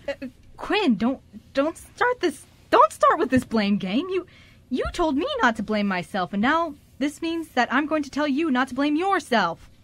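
An earnest young man in an amateur radio drama, played by a woman. He speaks haltingly and with open feeling. He means to reassure, stumbling over his words as he goes. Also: sits high, very animated, a bit bright, no room sound, full character voice. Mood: urgent, reassuring. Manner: halting, stammering, emotional delivery.

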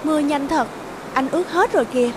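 Sweet loyal young woman, cartoon ; female voice; high, gentle, music bed. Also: brisk, close to an everyday voice, low-quality recording. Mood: affectionate. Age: young adult. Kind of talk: animated story voice acting.

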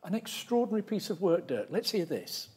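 A middle-aged man hosting an on-stage interview, with deliberate, emphatic phrasing. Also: high voice, sing-song, mellow, slightly rough. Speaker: interviewer, host. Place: large lecture theatre stage.

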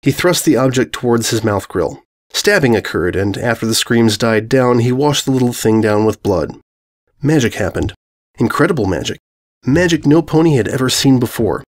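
Lively male storyteller. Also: medium pitch, moderate pace. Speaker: narrator. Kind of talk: dramatic reading of comic fan fiction.

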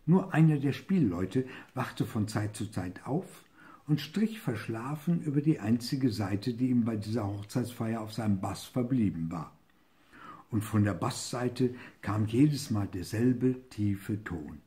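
An older man reading a fairy tale aloud expressively and deliberately, with dramatic pauses, as a storyteller. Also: fairly low pitch, lively intonation, warm tone. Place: book-lined living room, seated in an armchair.

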